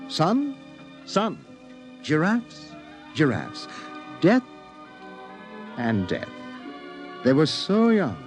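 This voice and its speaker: An ominous storyteller in an old radio drama. His delivery is intense and deliberate, sweeping widely in pitch at a middle register, with music behind him. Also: a little mellow. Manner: intense, deliberate, incantatory repetition. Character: brooding narrator of an ominous science fiction tale. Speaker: narrator.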